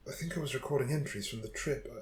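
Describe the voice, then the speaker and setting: An actor playing a gentle, shaken young man who speaks haltingly in an audio drama. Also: medium pitch, close to an everyday voice. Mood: hesitant, confused. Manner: hesitant, halting.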